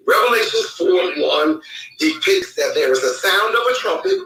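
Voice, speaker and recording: A man's voice: very high voice, huge pitch swings, moderate pace, gravelly, pushed and bright, slight background noise. A man speaking as a guest preacher, teaching through scripture with rhetorical questions. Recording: echoey remote audio from far off.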